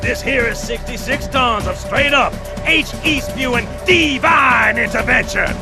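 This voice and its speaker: Gravelly, swaggering marine sergeant. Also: male voice, extremely high pitch, measured pace, music in the background, heavily acted. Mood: folksy bluster. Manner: folksy, blustering, commanding. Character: gruff, swaggering veteran marine sergeant, motivating troops. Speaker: platoon sergeant. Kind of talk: dramatic military video game acting.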